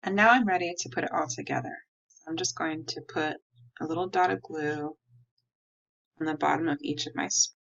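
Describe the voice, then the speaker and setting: Low-pitched, creaky woman teaching crafts. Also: a little fast, fairly bright tone. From United States. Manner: simple, clear step-by-step phrasing. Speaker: art teacher. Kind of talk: step-by-step craft instruction and simple storytelling. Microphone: recording a tabletop demonstration under an overhead camera. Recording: slightly dull, lo-fi audio.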